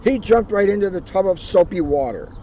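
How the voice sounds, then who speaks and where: A man reading a children's storybook aloud in an animated way, with casual asides. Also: high-pitched, a little fast. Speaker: police officer reading a children's book aloud. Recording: noisy, muffled recording.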